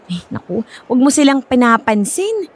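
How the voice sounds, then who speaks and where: High-voiced, encouraging Filipino friend. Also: female voice, big pitch swings, moderate pace. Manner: coaxing, supportive, reassuring. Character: encouraging, chatty former classmate, a woman working at a school. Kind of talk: Filipino radio drama acting.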